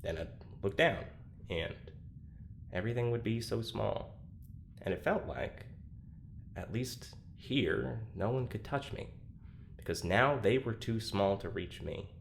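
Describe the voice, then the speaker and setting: A troubled young man confides in an audio drama monologue. His voice sits fairly low and he speaks haltingly, doubting himself with dry sarcasm. A light bed of ambient noise runs behind him. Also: lively intonation, vocal fry. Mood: weary, withdrawn.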